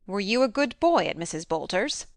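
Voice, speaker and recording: A woman voicing a stern, reserved elderly farm woman in an audiobook, low, quiet and clipped. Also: sing-song, a little fast, no echo, clean studio sound. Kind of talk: audiobook character voice.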